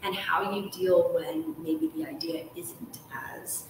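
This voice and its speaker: A woman's voice: mid-range voice, sing-song, takes it slowly. Woman host, distant and very echoey. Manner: enthusiastic, rambling questions. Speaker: host, interviewer. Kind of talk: casual, friendly live interview chat. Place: ornate hotel room, indoors. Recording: distant, echoey room, background noise.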